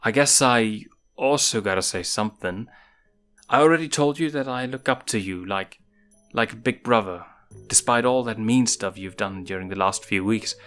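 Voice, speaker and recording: A man voicing an earnest young lion cub prince with a casual, halting, boyish way of talking. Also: fairly low pitch, a bit bright, natural voice. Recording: music behind the voice.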